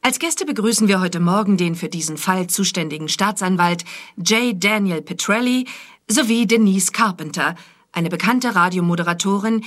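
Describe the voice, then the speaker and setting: An actress playing a polite, composed morning television presenter in a radio drama, speaking courteously and professionally. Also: female voice, lowish voice, fairly bright tone. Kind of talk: radio drama acting as TV interview moderator.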